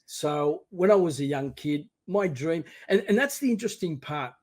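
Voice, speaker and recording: Animated Australian man in his sixties. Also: fairly high pitch, moderate pace. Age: 60s. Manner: animated, acts out encouraging voices. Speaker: podcast guest. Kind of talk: casual interview.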